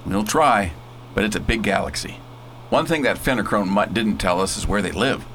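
A man playing a bold scientist who leads a space crew in a radio drama, brisk, folksy and commanding. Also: fairly low pitch, fairly bright tone, slight background noise. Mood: grave, determined. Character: bold, decisive space-hero scientist and leader.

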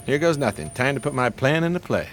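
A man's voice: medium pitch, big pitch swings, fast, a little hiss or hum, natural voice. An actor playing a scheming homeless man with genteel, pompous manners and florid diction in a radio drama. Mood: grand, savoring.

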